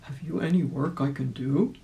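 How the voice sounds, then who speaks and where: A woman voicing a kindly, polite old gentleman rabbit in a storybook reading, with an earnest, eager manner. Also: low-pitched, moderate pace, creaky, heavy background noise. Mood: polite, hopeful.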